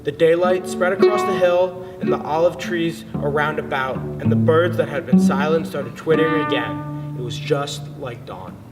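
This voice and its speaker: A man's voice: fairly high pitch, moderate pace. A man giving a solemn, dramatic narration of a literary story. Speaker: dramatic reader. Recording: distant, long reverb, music behind.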